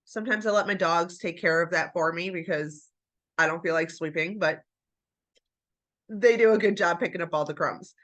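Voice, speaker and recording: Bright-voiced woman with a stuffy nose. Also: fairly low pitch, moderate pace. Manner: chatty, encouraging, addresses viewers as you guys. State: stuffy nose after flu. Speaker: homemaking vlogger. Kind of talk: casual chat to camera.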